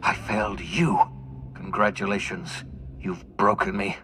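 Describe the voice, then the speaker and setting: An actor playing a despairing, defiant older fugitive scientist in a video game, theatrical and swinging from bitter to pleading. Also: male voice, low-pitched, fairly even intonation, unhurried, sharp tone, slight background noise. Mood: anguished, angry. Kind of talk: dramatic video game acting.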